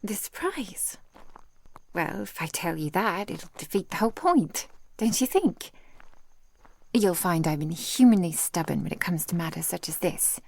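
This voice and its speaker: A woman voicing an affectionate, protective ancient vampire woman in a soft, intimate ASMR roleplay, with genteel old-fashioned phrasing. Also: lowish voice, lively intonation, a little slow, vocal fry, slightly rough, dry recording, heavily acted. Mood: playful, inviting.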